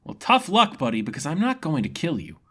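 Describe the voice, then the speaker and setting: A man's voice: fairly high pitch, wildly swooping pitch, brisk, a bit bright, husky, voice pushed up, heavily acted. A man voicing a cheerful, innocent young swordsman who talks in a casual, slangy, upbeat way for a fantasy story. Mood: exasperated.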